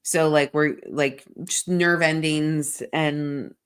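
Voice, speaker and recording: A woman's voice: sits low, fairly even intonation, slow, smooth. A woman co-hosting a podcast, chatting frankly and rambling with lots of "like" and swearing, sounding congested. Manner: rambling and frank, swears often, heavy "like" filler. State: congested from a sinus infection. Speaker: podcast co-host. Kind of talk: casual chat.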